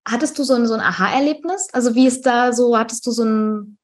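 A woman's voice: on the high side, brisk. A woman hosting a podcast who interviews a guest, affirming her answers and asking loose, rambling questions. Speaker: podcast host.

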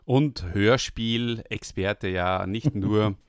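A male podcast host talking in a relaxed, chatty way, with plenty of fillers. His voice sits low. The sound is dry and close. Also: very slow, clear, resonant tone, dry recording. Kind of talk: casual podcast chat.

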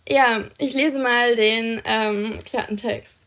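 A woman's voice: fairly high pitch, fairly even intonation, slow, fairly bright tone. A young woman co-hosting a podcast, chatting enthusiastically about books she loves. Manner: enthusiastic, chatty, many fillers. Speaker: podcast co-host presenting books. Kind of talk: casual podcast chat.

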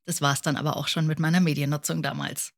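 A woman's voice: deep voice, little pitch movement, quick pace, vocal fry. Woman hosting a podcast. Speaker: podcast host. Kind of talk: podcast presenting and interviewing.

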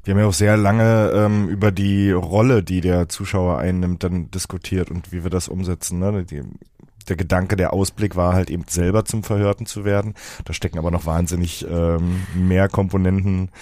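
A man with a very low, dark voice explains things in a casual discussion. He is a developer who pauses and searches as he goes. His voice is soft-edged and relaxed. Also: no room sound. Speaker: project developer and panel guest.

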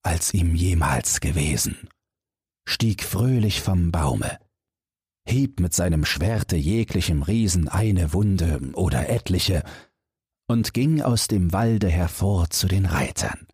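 A man with a deep, breathy, heavily husky voice narrates a fairy tale for bedtime. His delivery is slow and measured, with expressive, storybook phrasing that moves up and down. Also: dry recording, studio quality. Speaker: audiobook narrator.

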